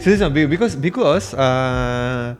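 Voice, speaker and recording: A man with a Malaysian English accent talks casually on a podcast, pausing often and restarting his sentences. His voice sits at an ordinary pitch, with faint music under it. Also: lively intonation. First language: Tamil. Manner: halting, many fillers and restarts. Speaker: podcast co-host. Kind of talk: casual chat.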